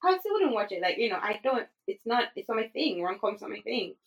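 A woman from Singapore talking as a guest in a casual interview, animated and full of fillers and restarts. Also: mid-range voice, a little fast. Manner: animated, many fillers and restarts, laughs often.

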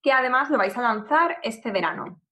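Higher-voiced woman interviewing a guest. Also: expressive, normal speed, bright, forceful tone, slight background noise. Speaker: interviewer, podcast host. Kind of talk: casual interview.

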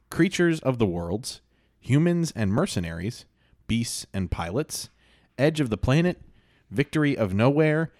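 Laid-back podcast host, a man with a lowish voice, loose and rambling, recorded dry. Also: a little mellow, no echo. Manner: laid-back, rambling with fillers and frequent laughs. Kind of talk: casual chat.

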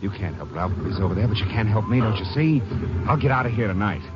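A man's voice: on the low side, animated, fast talker, heavy background noise, lightly acted. An actor playing a ruthless male fugitive safecracker who coaxes and bullies his girlfriend, in a 1950s radio drama. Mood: scheming. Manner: menacing, coaxing. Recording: dull 1950s radio broadcast.

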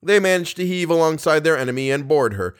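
A man's voice: fairly high pitch, expressive, fast talker, clear, resonant tone. A man who hosts a podcast and reads classic horror fiction aloud, speaking as a narrator and presenter. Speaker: podcast host and story reader. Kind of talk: casual podcast introduction and audiobook-style narration of a horror story.

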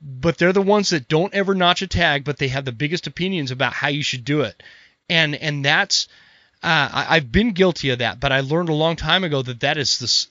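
A man's voice: on the high side, bright, forceful tone, no echo. A man in his forties hosting a podcast, talking casually and emphatically, often repeating words as he builds a point. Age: 40s. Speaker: podcast host. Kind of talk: casual conversation. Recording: dull, dry sound.

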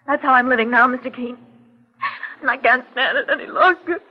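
A woman's voice: high voice, brisk, a little hiss or hum, close to an everyday voice. An actress playing a brave but anxious young woman in danger in a 1940s radio melodrama, speaking earnestly, politely and with some hesitation. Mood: resolute, strained. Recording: tinny vintage 1940s radio recording.

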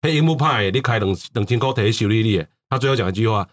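A man's voice: fairly low pitch, racing. A man with a Hokkien-inflected Taiwanese accent hosting a casual chat, folksy and emphatic with frequent interjections. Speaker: podcast host.

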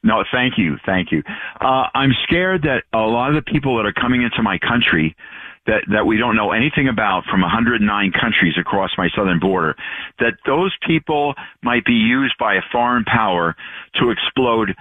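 A low-voiced man in his sixties calling in over a thin, tinny phone line. Originally from Long Island, New York, he rambles and jokes, cutting himself off as he goes. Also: expressive, normal speed, no echo. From Long Island, New York, USA. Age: 60s. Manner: rambling, jokey, self-interrupting. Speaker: caller. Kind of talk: call-in radio chat.

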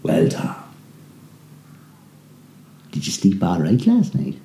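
A man doing a calm, mysterious stranger. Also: sits low, slow, creaky, roomy sound, pitched below the performer's own voice, heavily acted.

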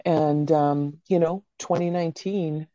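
A woman's voice: deep voice, fairly even intonation, unhurried, dark tone, no room sound, lo-fi sound. A woman raised in Canada, talking as a podcast guest in a candid, rambling way with casual swearing. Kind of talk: casual interview chat.

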